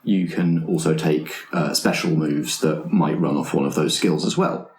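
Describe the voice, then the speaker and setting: A low-pitched man serves as game master for a tabletop roleplaying game. He lays out the rules to his players in a halting, explanatory way. The sound is roomy, with clear echo. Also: a little mellow. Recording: roomy, echoing room sound.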